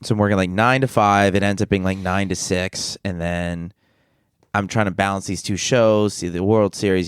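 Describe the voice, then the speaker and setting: A young man with a very low, smooth, resonant voice rambles through a casual story and keeps restarting his sentences. He sounds a little sniffly. The recording is close and dry, with no room sound. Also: dry recording. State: slight sniffles. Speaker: podcast co-host, comedian. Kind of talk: casual chat.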